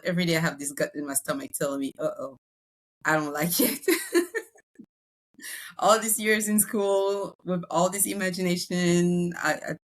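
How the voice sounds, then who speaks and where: French-accented woman, deep-ish voice, chatty broker in a podcast interview. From Congo, raised in France. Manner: chatty, many fillers and restarts. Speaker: real estate broker.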